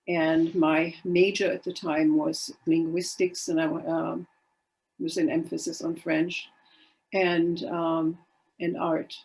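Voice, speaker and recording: A woman's voice: fairly low pitch, creaky, low-quality recording. An older woman from Germany whose first language is Polish, telling her life story in a chatty, wry and self-deprecating way on a video call. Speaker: guest speaker. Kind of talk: casual storytelling talk.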